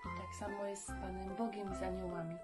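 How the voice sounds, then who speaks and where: A woman's voice: mid-range voice, flat delivery, fast talker. Children's show presenter, female, 30s, mid-range voice, explaining simply to young viewers over music. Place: cosy indoor room with bookshelves.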